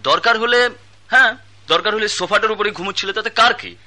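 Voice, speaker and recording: An actor playing a calm, worldly lawyer and family friend who speaks with dry irony, in a radio play. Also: male voice, sits high, fairly quick. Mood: coaching. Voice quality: calm, dryly ironic.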